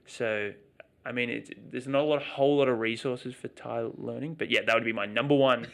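A man's voice: medium pitch, steady tone, fairly quick, creaky, fairly bright tone. A chatty, outgoing man with an Australian accent, talking casually as a guest in an interview. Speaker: interview guest, video creator.

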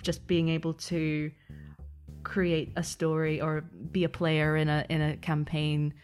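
A woman's voice: low-pitched, completely flat delivery, unhurried, a little mellow, soft background music. A woman being interviewed as a guest, speaking casually and thoughtfully, feeling her way through her phrases. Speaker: interview guest, podcast producer.

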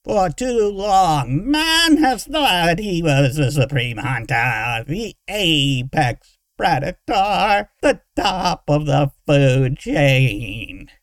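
A man voicing a crazed villain mage in a fantasy audiobook, shrill and whining, with grandiose archaic pronouncements. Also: very animated, drawn-out words, hardly any pauses, dry recording, full character voice.

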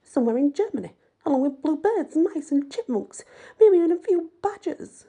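A woman's voice: high voice, animated, normal speed, dark tone, pitched above the performer's own voice. A woman voicing a stern, impatient, demanding woman who leads a teen therapy group in an audiobook. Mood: stern, exasperated. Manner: probing, demanding.

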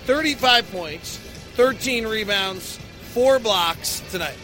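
A man's voice: sits high, expressive, takes it slowly, bright, forceful tone, faint music behind. An American man hosting a basketball post-game podcast, giving emphatic analysis and stressing the key figures. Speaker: sports podcast host.

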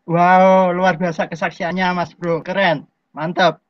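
High-pitched guy hosting, hyped and friendly, dry muffled sound. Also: level tone, a little slow, no room sound. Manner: enthusiastic, friendly questioning. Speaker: podcast host. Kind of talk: casual interview.

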